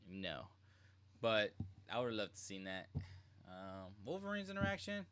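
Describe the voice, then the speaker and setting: A man's voice: lowish voice, big pitch swings, a little slow, a bit bright, clean tone, dry recording. A man hosting a live stream, giving informal reaction commentary and chatting to viewers. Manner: informal, addresses chat, mimics lines. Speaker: streamer, host.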